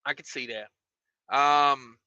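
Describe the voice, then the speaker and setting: Chill trucker guy on a livestream, voice going hoarse, super bright tone, kinda dull dry audio. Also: medium pitch, steady tone, slow, no echo. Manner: laid-back, repeats phrases, many fillers. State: losing his voice. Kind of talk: casual livestream chat reading viewer comments.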